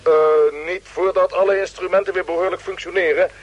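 A man acting a steady spaceship fleet commander in an old radio drama, speaking in a brisk, authoritative way. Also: high-pitched, slightly rough. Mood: brisk, in control. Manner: brisk, authoritative, giving orders. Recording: muffled vintage radio drama recording.

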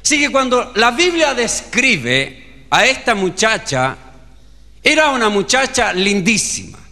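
A Chilean man preaches in a bright, sharp voice that swings widely in pitch. He takes his time and tells his story like a storyteller. A long reverb from the hall trails each phrase. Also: big pitch swings, a little slow. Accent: Chilean Spanish. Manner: storytelling preacher, acting out dialogue. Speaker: pastor. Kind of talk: sermon.

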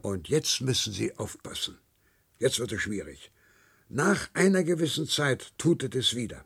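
An actor playing a meticulous, controlling male instructor in a science-fiction radio play, didactic and condescending, with a husky, creaky voice. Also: medium pitch, very animated, fairly bright tone, heavily acted. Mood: instructing, insistent. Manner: didactic, hesitant pauses, condescending.

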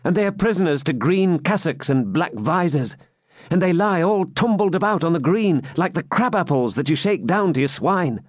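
Wry loyal jester guy, higher than his own voice, bouncy - a man reading it, dry close sound. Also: animated, moderate pace, no room sound. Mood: anxious.

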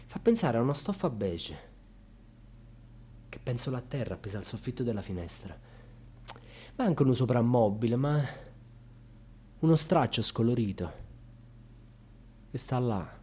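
A husky, bitterly ironic storyteller. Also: male voice, medium pitch, sing-song, normal speed, pauses often. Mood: sardonic, wistful. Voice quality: husky, often dropping into breathy whispers. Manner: dramatic, swinging from hushed murmurs to loud outbursts. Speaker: actor narrating a dramatic monologue. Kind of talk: theatrical monologue for radio theatre.

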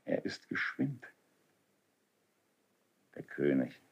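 An actor playing a noble, devoted friend who is dying, voice failing into a breathless whisper, in a 1970s German radio drama. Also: male voice, extremely low pitch, very animated, drawn-out words, vocal fry, slightly rough, full character voice. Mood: dying, faint. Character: noble dying man, devoted friend of the prince, self-sacrificing. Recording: dull 1970s radio studio recording.

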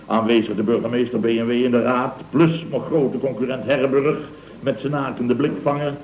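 An actor playing a flustered, blustering middle-aged contractor boss who stammers, repeats himself and grumbles in a comic radio drama. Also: male voice, mid-range voice, steady tone, normal speed, natural voice. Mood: sarcastic, aggrieved. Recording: dull, roomy old radio recording with hiss.

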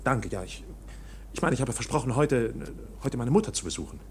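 A man's voice: medium pitch, expressive, fairly quick, slight background noise, natural voice. An actor playing a flustered accused man in a radio drama, protesting formally and hesitantly with stammered self-corrections. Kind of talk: German radio drama acting.

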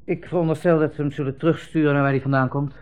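A man's voice: a little high, fairly even intonation, rapid-fire, a little mellow, soft background music, lightly acted. A man acting a brusque, cynical army captain in a 1960s Dutch radio play, with an offhand, dismissive manner. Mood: brusque, impatient. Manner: hesitant hemming, offhand, dismissive.

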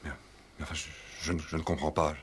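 Nervous, husky, deep-voiced suspect. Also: male voice, monotone, heavily acted. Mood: flustered, evasive. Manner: hesitant, halting, repeats words. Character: nervous, evasive professional man under suspicion. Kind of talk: 1960s French radio play acting.

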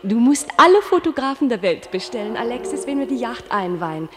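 A woman's voice: on the high side, big pitch swings, fairly quick. An actress playing a spoiled, dreamy yet anxious female star in a German radio play, with an affected, gushing manner. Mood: coquettish, excited. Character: spoiled, dreamy, anxious woman star, former dishwasher girl.

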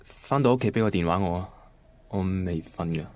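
Actor as an insecure young Hong Kong boyfriend. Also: male voice, sits low, moderate pace, darkish tone, dry recording, close to an everyday voice. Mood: quiet, waiting. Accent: Hong Kong Cantonese. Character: easygoing, insecure young boyfriend, hurt and suspicious. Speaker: actor in a radio drama. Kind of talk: radio drama acting and first-person narration.

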